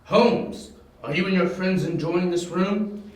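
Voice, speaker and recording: A man playing a gruff, dim, menacing gang boss in an amateur audio drama, giving terse orders. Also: high-pitched, slow. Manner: terse, commanding. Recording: very echoey, distant from mic.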